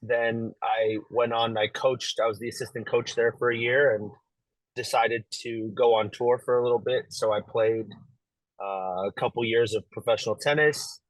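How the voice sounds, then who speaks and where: A young man from Las Vegas, a pro pickleball player, chatting as an interview guest in a relaxed, easygoing way. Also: mid-range voice, normal speed, no echo, poor audio. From Las Vegas, USA. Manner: relaxed, easygoing, lots of fillers and light laughs. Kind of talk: casual interview talk.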